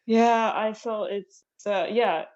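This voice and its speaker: A woman whose first language is Japanese, chatting on a podcast as a comedian guest, halting and laughing often. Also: medium pitch, fairly even intonation, darkish tone, lo-fi sound. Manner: hesitant, halting phrasing with frequent laughs. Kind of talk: casual podcast chat.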